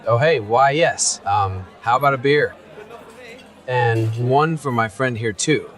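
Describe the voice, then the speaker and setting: A man's voice: mid-range voice, slow, fairly bright tone, a faint crowd. A man plays a young adventurer with a mid-range voice. He is casual, hopeful and laid-back, in the style of a fantasy visual novel. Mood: flustered.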